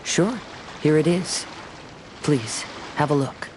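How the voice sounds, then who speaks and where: A man playing a gentle, thoughtful young wanderer in a video game, speaking in a polite, reflective manner. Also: on the high side, animated, slow pace. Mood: calm, reflective. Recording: clear noise behind the voice.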